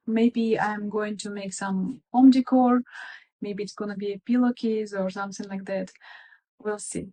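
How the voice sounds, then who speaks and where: Soft-spoken Russian-accented woman, friendly and shy. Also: mid-range voice, poor audio. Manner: friendly, a little shy, chatty with frequent fillers. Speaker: crafting vlogger. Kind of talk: casual crafting video chat.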